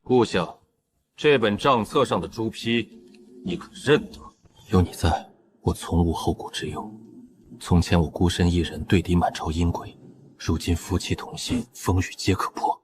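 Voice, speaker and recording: A man's voice: sits low, normal speed, a crowd in the background, natural voice. A man playing a stern, loyal and fiercely protective general in a Chinese costume drama. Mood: quiet resolve.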